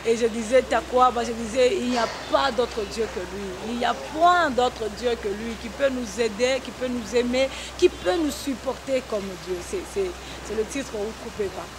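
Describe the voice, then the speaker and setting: A woman's voice: fairly high pitch, moderate pace, few pauses. A woman gospel singer who grew up on the Congo-Zambia border, giving earnest, testimonial answers in a talk show interview with hesitant restarts. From Congo-Zambia border region. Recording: noisy broadcast recording.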